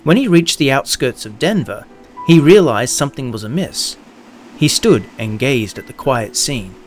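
A man's voice: medium pitch, animated, moderate pace. A man reading scripted narration as the narrator of an audio production. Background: background music.

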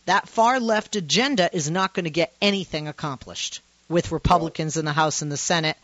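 A woman with a low voice for a woman, hosting a call-in talk radio show in a passionate, emphatic, conversational way. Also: fairly quick, no room sound. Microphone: studio microphone. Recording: muffled radio broadcast, dry.

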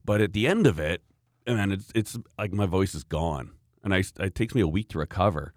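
Deep-voiced man, casual chat, rambling and lively, dry sound. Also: fairly quick, no room sound. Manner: animated, rambling storyteller, frequent laughs.

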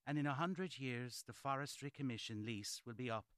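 Actor as formal 1940s gentleman officer, dry sound. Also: medium pitch, moderate pace, clear, resonant tone, no room sound, natural voice. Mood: composed, matter-of-fact. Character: 1940s gentleman landowner writing to cousin before war. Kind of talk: radio drama letter reading.

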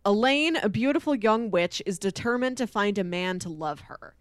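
A woman co-hosting a podcast in casual chat, speaking in an enthusiastic, expressive way. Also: medium pitch, moderate pace, clean tone, no room sound, studio quality. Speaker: podcast co-host. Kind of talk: casual chat with read-out film pitches.

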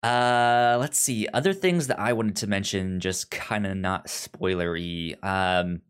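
A man's voice: sits low, unhurried, a bit bright, clear, resonant tone. A man co-hosting a podcast in a casual book-chat style, often stammering and repeating syllables and words. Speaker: podcast co-host.

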